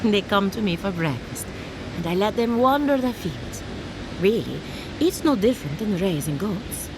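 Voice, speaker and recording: A woman acting as a cheerful, eccentric necromancer who farms the undead for a fantasy game. Her delivery is theatrical and breezy, swinging widely in pitch, doting yet matter-of-fact about gore. There is clear noise behind her. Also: animated, normal speed, lightly acted. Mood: fond, resigned. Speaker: quest-giving farmer.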